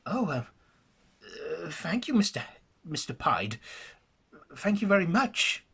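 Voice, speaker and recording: A man's voice: a little high, sing-song, takes it slowly, vocal fry, sharp tone, slightly rough, pitched above the performer's own voice, full character voice. A man doing a stammering timid secretary woman. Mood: timid surprise. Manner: stammering, hesitant.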